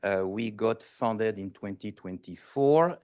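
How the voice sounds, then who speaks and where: Homme francophone conférencier, voix grave. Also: male voice, lowish voice, slow, a little mellow. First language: French. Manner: hesitant, many fillers, switches between French and English. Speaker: invited speaker, pediatrics professor. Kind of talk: conference presentation. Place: conference hall.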